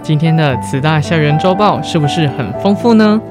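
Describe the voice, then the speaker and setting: A man's voice: on the high side, expressive, normal speed, music in the background. A young man with a Taiwanese Mandarin accent co-hosts student radio with scripted banter. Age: young adult.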